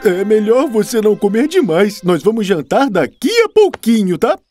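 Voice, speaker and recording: A man's voice: high voice, sing-song, moderate pace, darkish tone, faint music behind. A man with a Brazilian accent voicing a grumpy, easily flustered dog in a children's cartoon, exaggerated and stammering when flustered. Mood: awkward. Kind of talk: animated children's cartoon dub.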